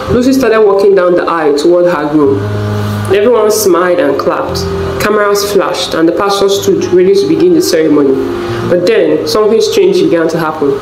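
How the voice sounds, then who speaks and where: A woman narrates a folktale in a fairly low voice. The sound is roomy, with music playing underneath. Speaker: storyteller. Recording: roomy echo, music bed. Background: background music.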